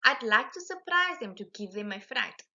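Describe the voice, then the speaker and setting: A woman's voice: a little high, sing-song, moderate pace, sharp tone, muffled recording, full character voice. A woman voicing a proud, dramatic female shark in a storybook, theatrical and exclaiming. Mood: sly, proud.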